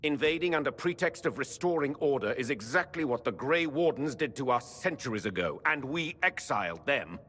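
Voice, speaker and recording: A suspicious, indignant nobleman in a fantasy game. His formal, accusatory oratory swings widely in pitch with a husky edge, ringing through a reverberant hall. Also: lively intonation, moderate pace, few pauses, a bit bright. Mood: indignant, accusing. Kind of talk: dramatic fantasy game acting.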